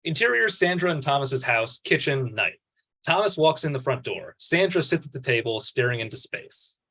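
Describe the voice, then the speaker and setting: A man's voice: mid-range voice, very animated, normal speed, bright, forceful tone. A man reading stage directions as scripted narration for a table read.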